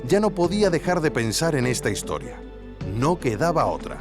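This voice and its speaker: A man's voice: a little high, sing-song, normal speed. An actor from Spain playing a wry, curious male investigator narrating a podcast, with ironic conversational asides to the listener. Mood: wry, intrigued. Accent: Spanish from Spain. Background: music.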